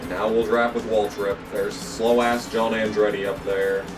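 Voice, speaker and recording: A young man, hoarse from losing his voice, commentating his racing game run with frequent swearing and excited outbursts. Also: lowish voice, a little slow, far from the mic, music in the background, rough recording. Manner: excitable, swears often, jumps into yelps when the race turns. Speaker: gaming channel host. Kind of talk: gameplay commentary.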